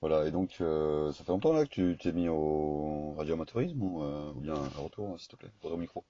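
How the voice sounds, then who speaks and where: A man speaking informally and haltingly as an amateur radio operator, in a casual chat over the air. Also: very deep voice, animated, warm tone, slight background noise. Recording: dull, echoey two-way radio recording.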